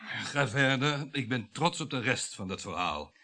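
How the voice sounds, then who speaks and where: A hard, contemptuous husband in a radio play. He speaks in a medium-pitched voice, curt and dismissive, with no trace of regret. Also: animated, unhurried, pushed and bright. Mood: scornful, defiant. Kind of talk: radio drama acting.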